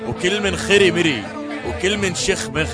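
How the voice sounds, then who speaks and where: A man's voice: high voice, expressive, normal speed, over music. A man playing a swaggering rhyming boss. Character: boastful young male gang boss, Abu Abd.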